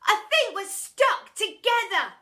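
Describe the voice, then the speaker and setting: A woman's voice: very high-pitched, big pitch swings, takes it slowly, bright, forceful tone, voice pushed up, exaggerated voice. A woman voicing a cheeky, filthy schoolboy in a storybook, exclaiming with eager glee.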